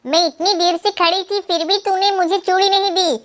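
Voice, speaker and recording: A woman voicing a sly, complaining female crow in a children's cartoon, squeaky and whiny in a dramatic way. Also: steady tone, moderate pace, fairly bright tone. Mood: huffy.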